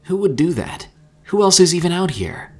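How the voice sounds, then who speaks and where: A jumpy, impatient man as a male narrator acts him out in a horror audiobook. He snaps orders in a raised, husky voice, urgent and explosive. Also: high voice, big pitch swings, normal speed, faint music behind, pitched above the performer's own voice. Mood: outraged. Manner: sharp, pleading, explosive urgency. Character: jumpy, impatient male companion ready to bolt, snapping orders. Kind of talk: dramatic horror audiobook character acting.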